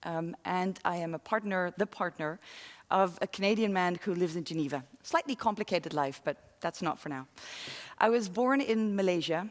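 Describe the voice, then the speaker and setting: Woman in her forties, slightly low voice, guest speaker, public talk, pausing delivery, long studio reverb. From London and the Netherlands. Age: 40s. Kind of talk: public talk to an online audience. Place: studio with very few people present.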